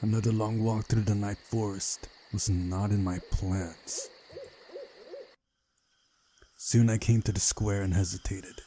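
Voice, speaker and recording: Slow-paced, low-voiced man over music. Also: fairly even intonation, dark tone, slight background noise. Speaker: host of a game playthrough. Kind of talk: casual playthrough chat and read-aloud narration of a visual novel. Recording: music playing behind the voice. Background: game music.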